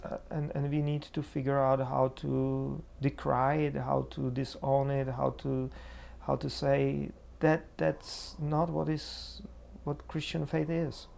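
Slow, halting Czech man, creaky voice. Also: mid-range voice, little pitch movement, darkish tone. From Czech Republic. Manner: halting, searching for words. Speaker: preacher, interview guest. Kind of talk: reflective theological discussion.

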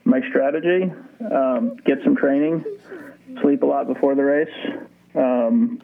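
A man's voice: medium pitch, fairly even intonation, measured pace, gravelly. A man in his forties, from Ukraine, a sled dog musher answering interview questions in a laid-back, dry-joking way over a phone line. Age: 40s.

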